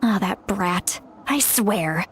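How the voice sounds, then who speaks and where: A woman voicing a fussy, sharp-tongued but caring granny shaman who sounds young, in a video game. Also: medium pitch, slow pace. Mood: weary, apologetic. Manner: exasperated sighs, scolding, expressive. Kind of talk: video game character acting. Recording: game music behind.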